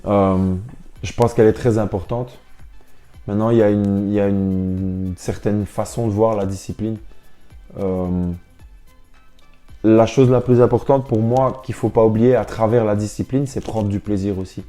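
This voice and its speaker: A young man answers interview questions as a sportsman. His voice sits on the low side and is deeply mellow and dark. He talks informally and reflectively, with music playing behind him. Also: steady tone, moderate pace. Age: young adult. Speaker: professional basketball player, interview guest. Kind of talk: casual interview talk.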